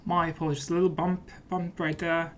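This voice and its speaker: A man's voice: fairly high pitch, little pitch movement, fairly quick, fairly bright tone, slight background noise. A man talks casually through a game as he plays it. His delivery is playful and often mock-serious, in the style of a let's play host. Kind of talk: casual gameplay commentary.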